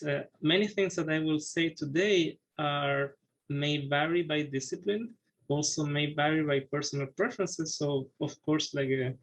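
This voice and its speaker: Chilean man with a gravelly voice. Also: fairly high pitch, poor audio. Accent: Chilean Spanish. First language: Spanish. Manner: hesitant, many fillers and restarts. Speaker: workshop presenter. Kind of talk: online academic workshop talk. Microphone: video call webcam.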